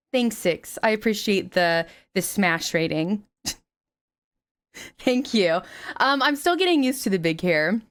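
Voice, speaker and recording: A young woman talks casually on stream through a headset mic. Her voice is still a little raspy from a recent flu. She chats loosely and curses freely, with a lively melody that leaps up for greetings. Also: medium pitch, slow pace, long pauses. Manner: chatty, swears freely, animated greetings. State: slightly raspy after flu.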